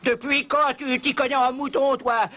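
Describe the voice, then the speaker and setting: A man voicing a greedy, pompous, quick-tempered schemer in an animated series. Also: extremely high pitch, heavily acted. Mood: indignant haggling. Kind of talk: French animated series voice acting. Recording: music under the voice, VHS rip. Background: loud music.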